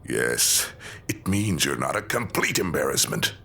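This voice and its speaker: A man playing a gruff, jaded older man with a gravelly, deliberate, dry delivery in an audio drama. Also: very deep voice, sing-song, pushed and bright, heavily acted. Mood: cold, condescending.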